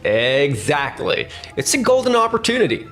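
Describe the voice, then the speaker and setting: Manga dub casting: male actor as cocky young salesman, slightly high voice, very lively swings, persuasive and pushy, faint music behind. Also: normal speed. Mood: eager pitching. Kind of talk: anime-style manga dub acting. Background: faint background music.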